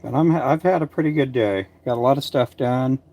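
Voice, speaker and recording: A man's voice: medium pitch, brisk, warm tone. A man in his late fifties from Texas with a country accent, chatting casually and folksily on his livestream, with many fillers. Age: 50s. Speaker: fishing jig maker and channel host.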